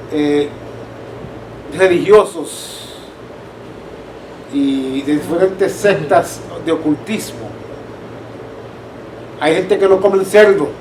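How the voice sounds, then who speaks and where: A man with a Caribbean Spanish accent and a high voice teaches a Bible class in a conversational way. He explains through anecdotes and checks that people understand. He is picked up from a distance in an echoey room with some background noise. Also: darkish tone. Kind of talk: informal church teaching with anecdotes. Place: church room.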